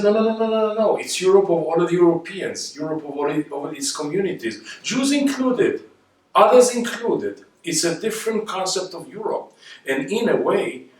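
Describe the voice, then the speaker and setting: A man with an Israeli accent giving a reflective talk on history, emphatic, with rhetorical questions and points built through repetition. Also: on the high side. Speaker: guest speaker. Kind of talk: reflective spoken lecture on history. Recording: echoey room, distant microphone.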